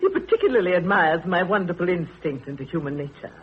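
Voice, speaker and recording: An actress playing an inquisitive, self-satisfied sister, gossipy and huffy, in a 1930s radio drama. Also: female voice, lowish voice, sing-song, quick pace, breathy edge, exaggerated voice. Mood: smug. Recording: muffled, noisy 1930s radio broadcast.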